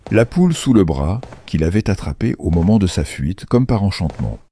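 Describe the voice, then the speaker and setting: Deep-voiced man narrating a fairy tale. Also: big pitch swings, normal speed, dark tone, slight background noise. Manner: theatrical, playful storyteller. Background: music under the voice.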